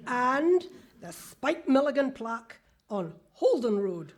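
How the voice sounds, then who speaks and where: A woman's voice: sits high, big pitch swings, slow, heavily acted. A woman playing a bossy, exasperated Scottish police superintendent in a comic radio play before a live audience.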